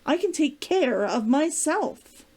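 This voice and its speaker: A woman's voice: sits high, very animated, slow, darkish tone, voice pushed up, heavily acted. A woman reading aloud as a proud, stubborn woman fighter in a storybook, defensive and curt. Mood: self-assured. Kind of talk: storybook character dialogue read aloud.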